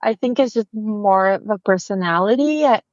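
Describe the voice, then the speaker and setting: A woman's voice: medium pitch, expressive, normal speed, no room sound, highs cut off. A woman from Brazil with a Brazilian accent, chatting in an interview about her photography work, friendly and full of fillers and restarts. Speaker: family photographer, guest. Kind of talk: casual interview chat.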